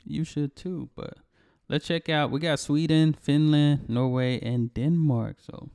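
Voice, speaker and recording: A man's voice: a little high, steady tone, unhurried, creaky, darkish tone, clear, resonant tone. A man hosting a reaction video, chatting casually and laughing along with the clip.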